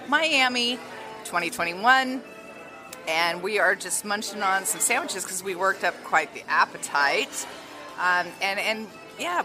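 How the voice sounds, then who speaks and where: A woman hosting a casual podcast chat over lunch in a hotel restaurant, laughing often and rambling flirtatiously. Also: mid-range voice. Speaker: podcast host. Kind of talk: casual chatty interview.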